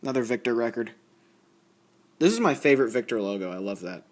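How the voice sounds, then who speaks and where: A man's voice: mid-range voice, lively intonation, quick pace. Rambling man in casual show-and-tell. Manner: rambling, thinking aloud, reading labels out. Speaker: record collector showing a haul.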